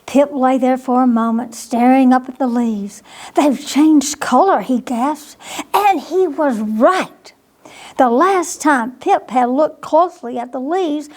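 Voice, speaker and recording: A woman narrates a children's bedtime story in a high voice. She takes her time and reads expressively, often turning to young listeners with a question. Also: a little mellow. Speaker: storytime reader at a library.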